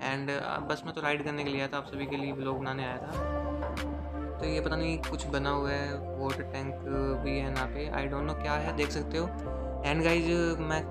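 A young man riding a motorbike outdoors and rambling chattily to his viewers, recorded on a camera mounted on the rider. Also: a little high. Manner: chatty, rambling, keeps addressing viewers as guys. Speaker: motovlogger. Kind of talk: casual vlog narration while riding. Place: outdoors on a motorbike on open roads. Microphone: action camera mounted on the rider. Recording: loud background music and wind noise.

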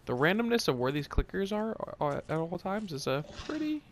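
Goofy, animated man playing a game. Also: high-pitched, fairly quick, a little hiss or hum. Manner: playful, goofy, talks and sings to himself. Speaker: video game streamer. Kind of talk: casual let's play commentary.

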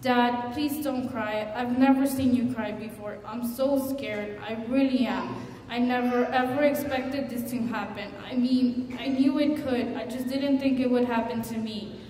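Brief: actress playing a tearful teen girl, halting and pleading, slightly high voice, echoey hall from afar. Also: steady tone, mellow, lightly acted. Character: frightened teenage girl confessing to her parents. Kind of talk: stage monologue. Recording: echoey hall, distant mic, faint noise.

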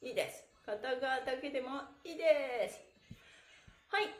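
A woman's voice: high-pitched, normal speed. A middle-aged woman teaching a live exercise class, cheerfully encouraging viewers and calling out cues in a singsong, polite way. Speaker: stretching instructor and livestream host. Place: small bare indoor room with wooden floor. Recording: echoey room.

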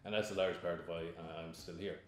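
A young man with an Irish accent speaks in a low, deep voice, halting now and then and doubling back on words. He sits for an interview in an office. The room rings with heavy echo. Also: fairly even intonation, a little hiss or hum. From Ireland. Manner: hesitant, repeats words. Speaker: employee interviewee. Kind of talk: recruitment video interview. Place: office, seated indoors.

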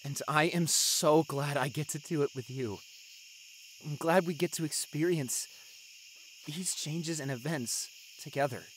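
A young man playing a devoted, gentle vampire boyfriend in an intimate audio roleplay, earnest and encouraging. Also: on the high side, a little hiss or hum, poor audio. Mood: hopeful, chatty. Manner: tender, encouraging, earnest, halting when emotional. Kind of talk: audio roleplay acting, intimate one-sided dialogue.

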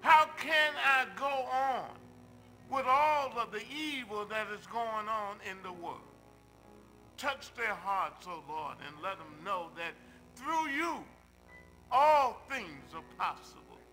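Older man, church minister, high-pitched voice, slow measured delivery, emphatic repetition, pulpit prayer. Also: pushed and bright, low-quality recording. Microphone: pulpit microphone.

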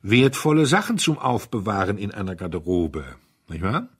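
A man voicing a gentle, serenely cheerful priest in an audiobook, with an unhurried, good-humoured solemnity. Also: lowish voice, very animated, breathy edge, heavily acted. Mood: serenely amused. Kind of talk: audiobook character acting.

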